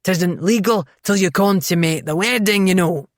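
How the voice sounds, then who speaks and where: A woman's voice: on the low side, normal speed, dry recording, lightly acted. Woman performing a jolly Scottish innkeeper, thick burr, boisterous and eager, dry close sound.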